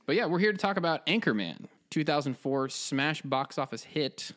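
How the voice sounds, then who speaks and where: A man's voice: on the high side, lively intonation, normal speed, fairly bright tone. A man hosting a podcast in casual chat, with hesitant, rambling phrasing. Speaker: podcast host.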